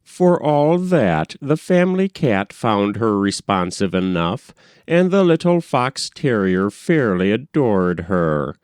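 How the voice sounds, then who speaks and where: A man reading a short story aloud as scripted narration, in a measured, expressive storytelling manner. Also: mid-range voice, no room sound, clean studio sound. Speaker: narrator, audiobook reader.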